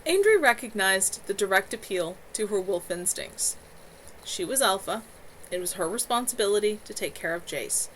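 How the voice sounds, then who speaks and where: A woman author reading her own novel aloud in a steady, measured way. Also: medium pitch, a little hiss or hum, low-quality recording.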